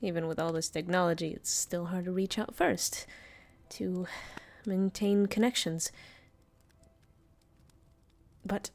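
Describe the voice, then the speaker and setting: A woman playing a centuries-old vampire master, tender and weary, confiding softly in an intimate audio roleplay. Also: fairly low pitch, steady tone. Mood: hesitant, reflective. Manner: soft, slow, hesitant, confiding.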